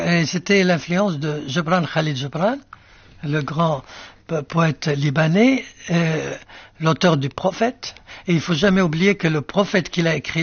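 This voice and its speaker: An older male writer whose first language is Arabic, musing slowly in an interview with many fillers and restarts. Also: a little high, husky, dry recording, highs cut off. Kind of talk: reflective interview answers.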